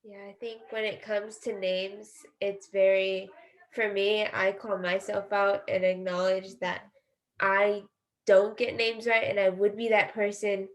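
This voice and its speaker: A woman with a middle-pitched voice talks casually as a panel participant. She is self-effacing and informal, sharing personally and peppering her sentences with "like". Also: flat delivery, a little slow, low-quality recording.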